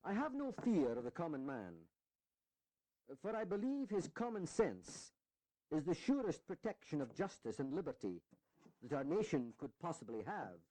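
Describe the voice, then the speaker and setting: An actor playing an earnest, high-minded eighteenth-century delegate in a historical audio drama, speaking in formal oratory. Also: male voice, sing-song, moderate pace, mellow, dry recording, poor audio. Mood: declamatory.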